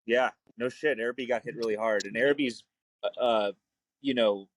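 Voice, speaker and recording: A man's voice: medium pitch, fairly even intonation, moderate pace, a little hiss or hum. A young man, a guest filmmaker talking frankly and easily in a casual podcast chat. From New Orleans. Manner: frank, easygoing, laughs often.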